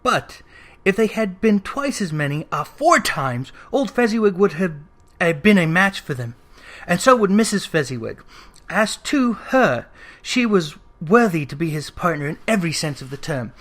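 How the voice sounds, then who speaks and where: A narrator reading Victorian fiction aloud in an expressive, theatrical storytelling manner. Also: medium pitch, normal speed. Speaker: audiobook reader.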